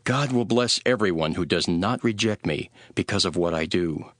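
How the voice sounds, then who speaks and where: A man playing a grave, authoritative teacher instructing his followers in a dramatised scripture reading, with measured, solemn delivery. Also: low voice, fairly bright tone, no echo, clean studio sound. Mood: proclaiming.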